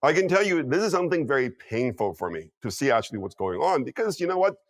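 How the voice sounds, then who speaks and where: A man's voice: medium pitch, very animated, quick pace, no room sound, studio quality. A man in his fifties giving emphatic interview commentary, often checking in with "okay?" and "you know what?". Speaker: guest strategist.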